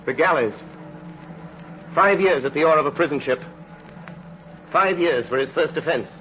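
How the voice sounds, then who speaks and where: A man narrating an old radio drama as a solemn, brooding storyteller, measured and rhetorical. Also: on the high side, pushed and bright, slight background noise. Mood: indignant. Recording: muffled old radio recording with music.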